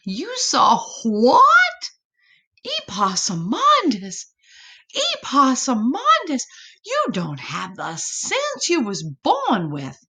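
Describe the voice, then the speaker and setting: A woman's voice: high-pitched, bright, forceful tone, pitched above the performer's own voice, full character voice. A woman voicing a doting, fretful possum mother, fussing and affectionately scolding in a children's storybook.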